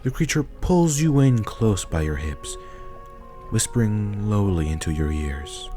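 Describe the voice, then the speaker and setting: Male narrator, deep voice, scripted storytelling over a faint music bed. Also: expressive, unhurried, dark tone.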